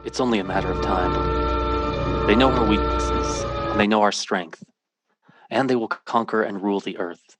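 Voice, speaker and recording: Male actor as sober everyman teacher, low voice, measured grave delivery, old-time radio drama, music behind. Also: a bit bright. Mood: grave, reflective. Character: sober, ordinary math teacher recounting an alien abduction. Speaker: lead actor. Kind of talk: 1950s radio drama acting, first-person narration.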